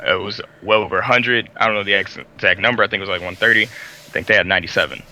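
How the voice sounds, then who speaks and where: Man, worn raspy voice, very bright sharp tone, defensive, casual podcast chat. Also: fairly low pitch, very fast, low-quality recording. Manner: defensive, rattles off numbers and facts. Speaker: podcast co-host.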